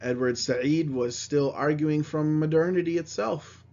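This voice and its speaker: A man's voice: a little high, measured pace, dark tone. A man lecturing on history, reading passages aloud and then explaining them in plain words. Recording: slightly dull, lo-fi recording.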